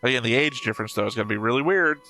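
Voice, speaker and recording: A man's voice: mid-range voice, very fast, bright, forceful tone, faint music behind, low-quality recording. A man giving casual, analytical reaction commentary as a show host, picking at details. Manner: analytical, nitpicking.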